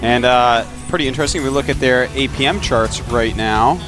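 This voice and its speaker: A man's voice: medium pitch, moderate pace, music in the background. A man with a New Hampshire accent giving live color commentary on an esports match, chatty and enthusiastic, breaking down the players. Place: packed event studio.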